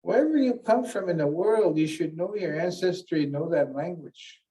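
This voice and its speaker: A man's voice: fairly high pitch, moderate pace, mellow. Earnest elder man, far from the mic. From Canada. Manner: earnest, teaching, retells conversations with many restarts. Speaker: elder giving a keynote. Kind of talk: keynote talk with storytelling. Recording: voice picked up from a distance.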